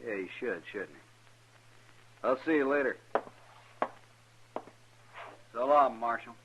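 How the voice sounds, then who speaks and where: An actor playing a surly, guarded wagon driver in a 1950s radio western, curt and suspicious. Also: male voice, medium pitch, moderate pace, fairly bright tone, natural voice. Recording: dull, noisy vintage radio recording.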